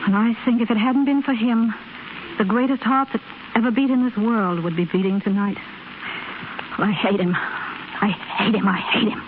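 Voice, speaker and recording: An actress playing a gentle, earnest young widow in 1930s radio drama style. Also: female voice, a little high, steady tone, normal speed, long pauses, lightly acted. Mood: bitter. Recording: tinny, faintly hissy 1930s radio broadcast.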